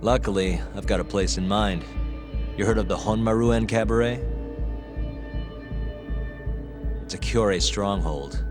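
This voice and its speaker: A low-pitched, tough investigator played by a male actor in a dramatic game cutscene, with music clearly behind him. He is cool, confident and sardonic, leaning on people with taunting, pointed lines. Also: normal speed, close to an everyday voice. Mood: cool menace. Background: background music.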